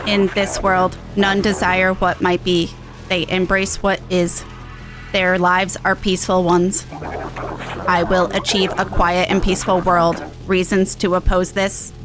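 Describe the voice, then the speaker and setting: A woman plays a rogue machine mind in a video game, cold and controlling. The delivery is formal, measured and emptied of feeling, pitched well below her usual bright chatter, with music underneath. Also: close to an everyday voice, poor audio. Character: cold rogue artificial intelligence obsessed with order.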